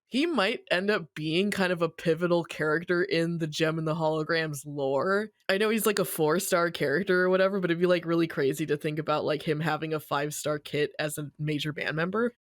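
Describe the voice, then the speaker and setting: A podcast host with a medium-pitched voice, recorded dry and close. The delivery is slangy and wry, like someone animatedly retelling a story to friends. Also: fairly quick, hardly any pauses, no room sound. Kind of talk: casual recap discussion of a story.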